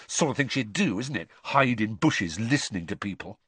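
A man's voice: lowish voice, lively intonation, normal speed, pushed and bright, husky, no echo, exaggerated voice. A man voicing a fiercely loyal teenage boy in an audiobook, talking in a heated, snapping way. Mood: angry.

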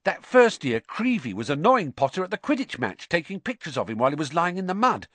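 A man's voice: fairly high pitch, sing-song, a little fast, fairly bright tone, dry recording, voice pushed up. A man voicing a pompous, fearful schoolboy in an audiobook, dramatic and insistent. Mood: ominous gossip. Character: pompous, fearful schoolboy spreading dark suspicions. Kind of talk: audiobook character acting.

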